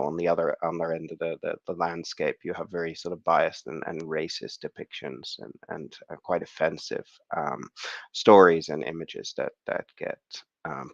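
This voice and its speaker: A man speaking at an online conference, his voice croaky after the flu, hesitant with many fillers and restarts. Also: low voice, no room sound, dull audio. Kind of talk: academic online talk. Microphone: video call.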